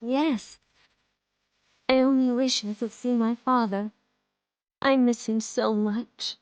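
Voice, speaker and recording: A woman's voice: on the high side, steady tone, slow, smooth, dry recording, rough recording. A woman voicing a kind, brave young woman, earnest and heartfelt. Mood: wistful. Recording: music playing behind.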